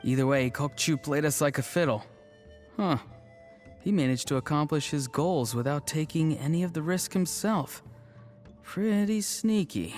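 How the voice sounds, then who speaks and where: An earnest young tribesman from a video game, acted by a man, with a slightly high voice. He speaks mildly and good-naturedly, a little wistful. Soft music runs behind him. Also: expressive, normal speed, natural voice. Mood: impressed. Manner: mild, apologetic, wistful. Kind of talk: animated video game cutscene acting.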